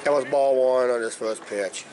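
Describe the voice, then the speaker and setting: A middle-aged man with a slightly hoarse voice, chatting casually and ramblingly as a vlog host, recorded outdoors on a handheld camera. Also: medium pitch, moderate pace. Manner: rambling, repeats "uh, basically". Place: outdoors, roadside and ballpark. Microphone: handheld camera at arm's length. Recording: clear outdoor traffic and ambient noise.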